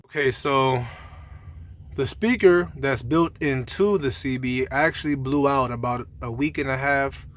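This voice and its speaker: A man's voice: medium pitch, unhurried, a little hiss or hum. A man talking casually and colloquially, like a truck driver giving a rambling, conversational gear review in a vlog.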